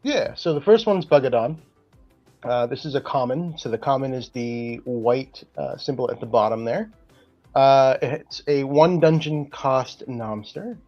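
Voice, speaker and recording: A man's voice: medium pitch, a little slow, muffled recording, soft background music. A man co-hosting a gaming podcast, explaining card stats step by step with lots of fillers. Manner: explains game cards step by step with many fillers. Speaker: podcast co-host and card game creator. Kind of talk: casual gaming podcast chat.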